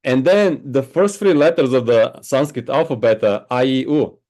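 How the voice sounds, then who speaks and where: A man's voice: mid-range voice, a little mellow. Reflective man teaching meditation. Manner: slow, reflective, explaining with many "right?". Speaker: spiritual teacher. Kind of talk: interview answers. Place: home on a Hawaiian island.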